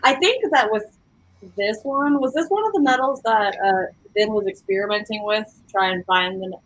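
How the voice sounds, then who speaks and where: Husky-voiced woman in casual book chat. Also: mid-range voice, moderate pace, a bit bright, poor audio. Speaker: book discussion participant.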